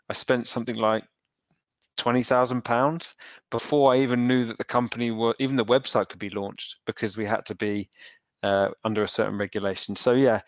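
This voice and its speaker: A man in his thirties answering interview questions in a thoughtful, halting way, full of ums and restarts. His voice sits low and comes through smooth and resonant. The recording is close and dry, with little room sound. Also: no echo. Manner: thoughtful, hesitant with many fillers and restarts. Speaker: entrepreneur guest.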